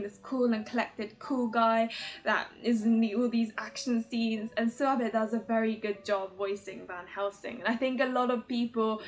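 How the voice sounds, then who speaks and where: A woman hosting an ad-libbed, chatty video commentary, rambling along in an excitable, giggly manner. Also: on the high side. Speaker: video host introducing games and voice actor's roles. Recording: music playing under the voice. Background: background music.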